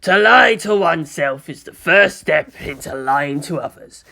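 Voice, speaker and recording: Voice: medium pitch, lively intonation, a little slow, vocal fry, sharp tone, full character voice. A narrator voicing a harsh, commanding military commissar who preaches without mercy. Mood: admonishing.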